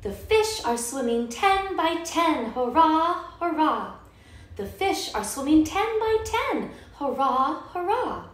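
A woman's voice: sits high, very echoey, far from the mic. A woman reading a children's picture book aloud as its author, in a rhythmic, sing-song delivery.

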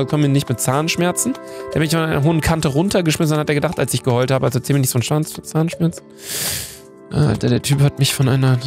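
Excitable young man with a cold. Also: a little high. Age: young adult. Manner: excitable, reads answers aloud, swears casually. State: has a cold. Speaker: streamer playing a meme quiz. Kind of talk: casual gaming commentary. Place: indoor room at a desk. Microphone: studio mic near the face. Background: background music.

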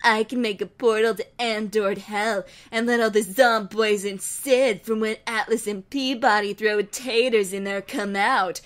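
A woman voicing a theatrical evil female clone villain who gloats and threatens in a drawn-out, menacing manner. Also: mid-range voice, steady tone, close to an everyday voice. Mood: gloating.

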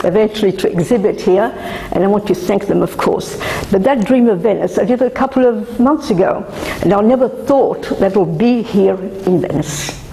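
Older aussie lady chatting at a gallery, gravelly warm voice, lots of uhs, bit of room hum. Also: medium pitch, reverberant, low-quality recording. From Australia. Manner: halting, many fillers and restarts, gestures while talking. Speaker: artist. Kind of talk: casual talk at a gallery opening.

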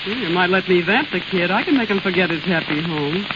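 Actress as lively young carnival vamp, hissy recording. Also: female voice, fairly low pitch, a little fast, lightly acted. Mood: hesitant. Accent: American. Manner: slangy, teasing. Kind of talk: 1930s American radio acting. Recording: muffled, hissy, crackling 1930s radio recording.

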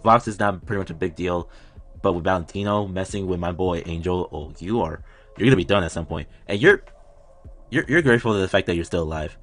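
A man in his twenties giving casual, unscripted reaction commentary as a video host, rambling like an excited fan, recorded at home in a small room. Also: sits low, brisk.

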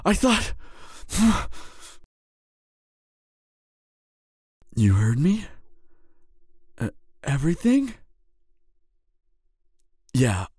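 Guy playing a lovestruck young knight, slow n halting, super earnest, close dry audio drama sound. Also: fairly high pitch, darkish tone, breathy edge, dry recording, full character voice. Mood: stunned, relieved. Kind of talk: intimate audio drama acting.

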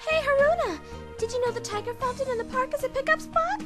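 A woman's voice: extremely high pitch, fast talker, loud music behind the voice. A woman playing a teasing, giggly teenage girl with a playful manner in an amateur anime-style fandub.

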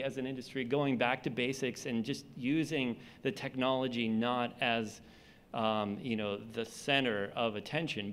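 A man's voice: mid-range voice, clear, resonant tone. A man in his thirties speaking fluently and enthusiastically on a conference panel, into a table mic on stage. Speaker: panelist, VR studio founder. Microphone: table mic on stand.

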